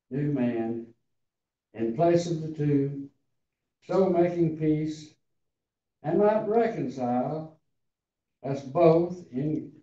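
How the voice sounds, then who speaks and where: A man in his eighties with a raspy, tender voice, preaching and slowly reading scripture to a congregation. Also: fairly high pitch, mellow. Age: 80s. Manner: slow, deliberate, halting reading. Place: large church hall before a seated congregation. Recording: roomy, distant, dull hall sound.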